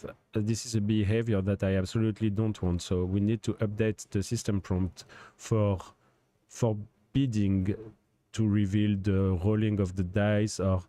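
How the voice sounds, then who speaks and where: A man hosting a livestream, talking in a hesitant, halting way with many fillers. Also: fairly low pitch, dark tone, no room sound. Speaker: host testing a chatbot. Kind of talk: casual livestream talk.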